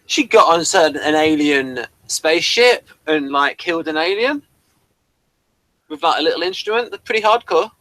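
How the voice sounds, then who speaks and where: Bright, crisp-voiced man hosting a casual chat. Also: a little high, expressive, moderate pace, no room sound, poor audio. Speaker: host of a review show. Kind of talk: casual review chat with a guest.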